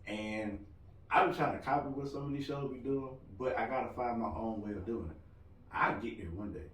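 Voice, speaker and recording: A married man co-hosting a casual podcast chat, loud-voiced, joking and rambling as he talks. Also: medium pitch, normal speed. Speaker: podcast co-host. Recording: roomy room, mic at a distance.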